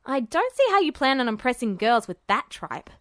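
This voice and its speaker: A woman voicing a gruff, earnest, short-tempered teenage boy with a blunt, emphatic manner in a story reading. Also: a little high, big pitch swings, normal speed, dry recording, studio quality. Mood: scornful.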